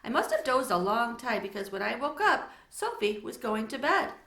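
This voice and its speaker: A woman reads a children's story aloud in an expressive, teacherly way, turning to address her listeners. Her voice is bright and forward, and the untreated room adds clear echo. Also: a little high, moderate pace.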